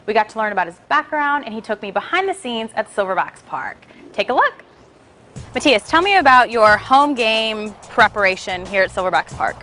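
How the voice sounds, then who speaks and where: A woman's voice: on the high side, animated, normal speed, pushed and bright, faint music behind. A young woman hosting a sports interview, bubbly and chatty as she introduces her guest and asks questions. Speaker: interviewer and host.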